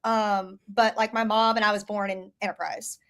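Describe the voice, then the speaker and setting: A woman's voice: medium pitch, flat delivery, moderate pace, a bit bright. A chatty woman from Southeast Alabama with a partly masked Southern accent, a guest on a video-call podcast, laughing often and filling with ums and likes. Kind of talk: casual chat.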